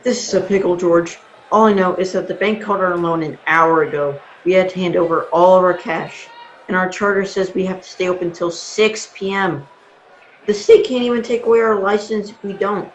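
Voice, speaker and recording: A man's voice: sits high, fairly even intonation, normal speed, slight background noise, natural voice. A man playing an absent-minded, flustered old uncle in a radio drama, with a fretful, dithering manner. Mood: worried. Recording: roomy, dull lo-fi sound.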